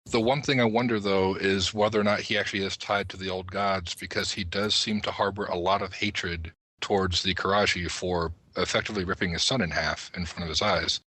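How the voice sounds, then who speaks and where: A man's voice: sits low, steady tone, fairly quick, few pauses, bright, forceful tone, no room sound, low-quality recording. A man speaking as a podcast panelist in a casual discussion of game lore.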